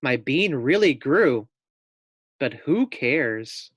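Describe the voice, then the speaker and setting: Man playing a small, excitable guinea pig. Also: medium pitch, extremely slow, husky, no room sound, heavily acted.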